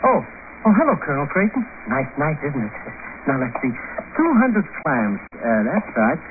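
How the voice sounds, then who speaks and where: Excitable high-voiced comic sidekick. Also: male voice, moderate pace, noisy background. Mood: nervous, pleading. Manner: fussy, muttering, slangy. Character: excitable, cowardly comic sidekick, male, money-minded small-time schemer. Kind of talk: 1950s radio comedy-drama acting. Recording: tinny old radio recording, music behind.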